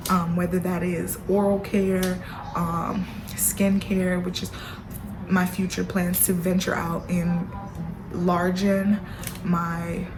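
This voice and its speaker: A woman's voice: fairly low pitch, little pitch movement, slow pace, over music, rough recording. A woman vlogger giving a casual, playful update straight to camera, full of asides to her viewers. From Haitian family background. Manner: chatty, playful, lots of y'all and baby girl asides. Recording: audible background noise.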